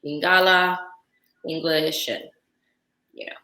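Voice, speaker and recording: A woman from Africa, a guest chef telling stories in a lively, laughing casual chat. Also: low voice, takes it slowly, gravelly, reverberant. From Central Africa. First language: French. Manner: lively storytelling with frequent laughter.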